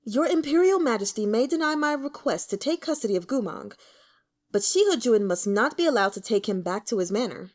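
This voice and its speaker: A woman's voice: a little high, lively intonation, brisk, darkish tone. A woman voicing a sly, spiteful male aristocrat in an audiobook, with a haughty, mocking drawl. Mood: scornful.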